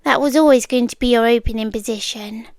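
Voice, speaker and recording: A British woman playing a calm, obsessive stalker girlfriend in an ASMR roleplay, speaking softly and deliberately close to the microphone, often drifting into whispers. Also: on the high side, no echo, close to an everyday voice. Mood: firm, composed.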